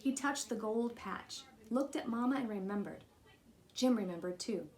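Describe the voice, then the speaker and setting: A woman's voice: fairly high pitch, moderate pace, darkish tone. A woman reading a children's picture book aloud as scripted story narration. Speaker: storybook reader.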